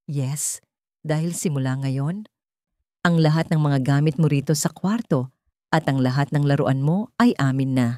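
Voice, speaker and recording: A woman's voice: sits low, moderate pace, mellow, no room sound, studio quality, close to an everyday voice. A woman voicing a spiteful young stepsister in an audio story, haughty, bossy and taunting.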